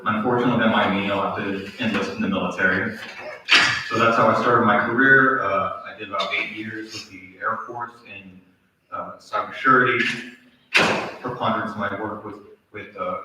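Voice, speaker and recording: A man's voice: fairly low pitch, bright, forceful tone, a little hiss or hum. A man introducing himself on a panel, casual and self-deprecating. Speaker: university security staff. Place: lecture theatre. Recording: echoey room from far off.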